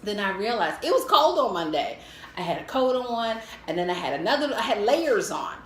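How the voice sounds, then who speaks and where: A middle-aged American woman telling a casual, humorous personal story in a candid, animated way. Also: medium pitch, normal speed. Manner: animated, candid, acts out her own thoughts. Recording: echoey room with faint noise.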